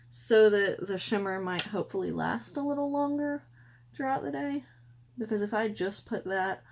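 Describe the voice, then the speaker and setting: A woman talks through her makeup in a chatty, wandering way, often correcting herself mid-thought. Her voice is hoarse and worn out, sitting at an ordinary middle pitch. A faint hum runs underneath her. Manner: chatty, rambling, self-correcting. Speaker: beauty vlogger. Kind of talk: casual makeup tutorial. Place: room at home by a window.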